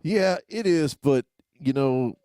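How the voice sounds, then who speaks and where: A man's voice: a little high, animated, takes it slowly, mellow, no echo, clean studio sound. A man co-hosting a casual podcast, easygoing and laid-back in how he talks. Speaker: podcast co-host.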